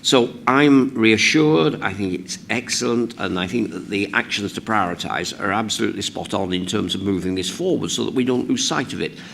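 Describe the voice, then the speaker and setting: A man speaking hoarsely with the flu, giving measured, emphatic remarks as a board member in a formal meeting. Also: on the low side, fairly bright tone, slight background noise. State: hoarse from the flu, voice lower than usual.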